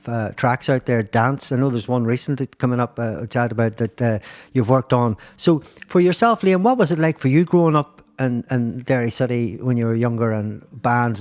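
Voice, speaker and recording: A man's voice: mid-range voice, a little mellow. A man with a Northern Irish accent hosting a casual interview, rambling and hesitant, with a bantering way about him. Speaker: podcast host.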